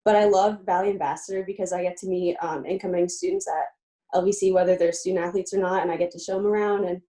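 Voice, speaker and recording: A woman's voice: fairly low pitch, fairly even intonation, fast talker, mellow. A young woman from Pennsylvania, a college student-athlete and guest on an interview show, talking eagerly and chattily with frequent "um" fillers. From Lebanon, Pennsylvania, USA. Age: young adult. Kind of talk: casual interview.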